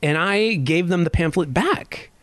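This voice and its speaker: A man's voice: high-pitched, unhurried, a bit bright, dry recording. A man in his thirties hosting a podcast, introducing and chatting with a guest in a friendly way. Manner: friendly, conversational, slight hesitations. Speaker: podcast host and interviewer. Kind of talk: podcast intro and interview chat.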